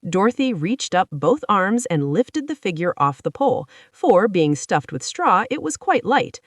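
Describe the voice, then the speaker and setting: A woman's voice: mid-range voice, sing-song, unhurried, dry recording, clean studio sound. A woman reading a children's story aloud as a scripted narrator.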